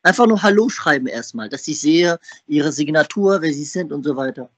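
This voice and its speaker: Blunt man with a slightly high, dry voice. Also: animated, fairly quick, fairly bright tone, breathy edge, no echo. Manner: blunt, demanding, directing the call. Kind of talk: phone conversation.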